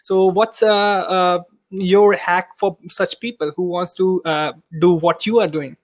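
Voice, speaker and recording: A man hosting a podcast interview, pausing often with filler sounds. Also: high-pitched, steady tone. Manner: hesitant, many filler "uh"s. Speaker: podcast host.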